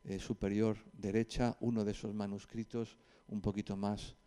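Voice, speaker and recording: A man with an accent from Spain, lecturing informally to a group in a didactic, conversational way with hesitant pauses and asides. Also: fairly low pitch, flat delivery, dark tone, smooth.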